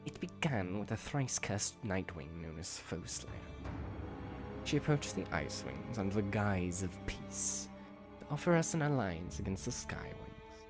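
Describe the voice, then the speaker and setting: A man's voice: sits low, big pitch swings, measured pace, music in the background. A man playing a proud, bitter young ice dragon in an audio drama, lecturing pompously. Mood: grim, bitter. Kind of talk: fan audio drama acting.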